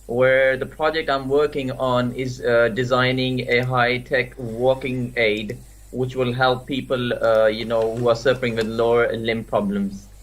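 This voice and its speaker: Halting man with many fillers. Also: medium pitch, level tone. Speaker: PhD student. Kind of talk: panel self-introduction.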